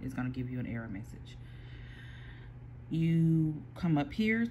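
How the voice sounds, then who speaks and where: A person casually explaining printer software step by step, with frequent pauses and restarts, recorded on a phone aimed at a computer screen. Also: mid-range voice, very animated, dull audio, slight background noise. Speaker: small print shop owner giving a software tutorial. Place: indoor workspace at a computer. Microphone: phone filming a computer screen.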